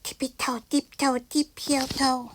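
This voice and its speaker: A woman's voice: high-pitched, little pitch movement. A woman reading a children's picture book aloud in a theatrical, sing-song manner, like a storytelling teacher. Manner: theatrical, slow and deliberate with sing-song repeated phrases. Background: background noise and sound effects.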